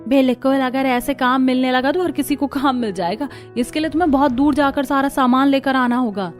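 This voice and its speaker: A woman's voice: high voice, fairly quick, faint music behind, voice pushed up, close to an everyday voice. A woman voicing a selfish, evasive housewife neighbour in a cartoon story, emphatic and dismissive.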